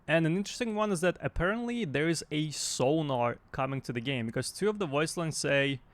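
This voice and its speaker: A man talking casually and off the cuff as a gaming news host, in a hesitant, halting way. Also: on the high side, lively intonation, no room sound. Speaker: YouTube game news host.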